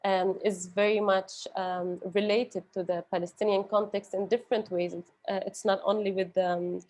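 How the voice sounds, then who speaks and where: A woman's voice: mid-range voice, little pitch movement, normal speed, a little mellow. A woman giving an unscripted, reflective talk about research, hesitant and thoughtful as she searches for words. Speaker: guest speaker, researcher.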